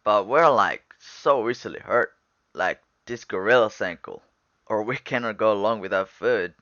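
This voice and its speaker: A man plays an eager young space cadet in an animated sci-fi film. The voice is bright and forceful with a gravelly edge, talking casually. The recording is dry. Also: lowish voice, unhurried, no room sound, lo-fi sound. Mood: casual, grumbling. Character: young human space trainees, eager, bantering.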